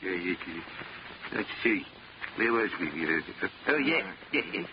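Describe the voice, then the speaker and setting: An actor in a 1940s radio drama playing a dim, cocky small-time hoodlum who talks in bad-grammar street slang. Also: male voice, low voice, very animated, takes it slowly, exaggerated voice. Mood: self-important. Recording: muffled, noisy 1940s radio recording.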